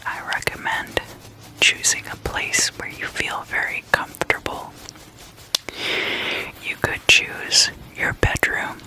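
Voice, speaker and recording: A person whispering a guided meditation in a breathy, unvoiced voice, giving instructions in halting, spaced-out phrases. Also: bright, forceful tone, rough recording.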